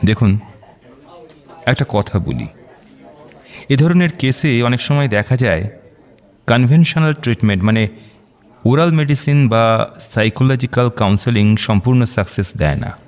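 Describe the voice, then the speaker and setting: A man acting in a radio drama as a reassuring doctor who explains things deliberately, with measured pauses. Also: medium pitch, animated. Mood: cautious. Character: measured, reassuring male doctor counselling a client.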